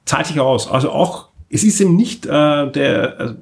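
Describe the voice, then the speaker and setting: A man's voice: on the high side, normal speed, gravelly. A man with an Austrian accent hosting a casual podcast discussion, repeating phrases for emphasis. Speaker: podcast host.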